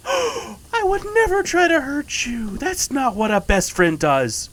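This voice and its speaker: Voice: high voice, big pitch swings, takes it slowly, a bit bright, a little hiss or hum, exaggerated voice. An actor in a fiction podcast playing a taunting, playfully sinister best friend, teasing and mock-wounded in a theatrical way. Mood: playfully menacing.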